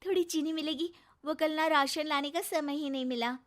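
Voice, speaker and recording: A woman's voice: high-pitched, fairly even intonation, moderate pace, pitched above the performer's own voice, lightly acted. Woman voicing a polite young student girl.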